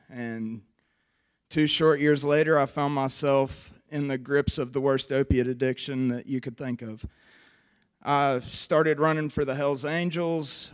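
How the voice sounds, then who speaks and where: A man in his twenties with a West Virginia accent, giving an earnest, conversational personal testimony to a church audience with frequent pauses. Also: mid-range voice, smooth. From West Virginia, USA. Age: 20s. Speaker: recovery program member giving testimony.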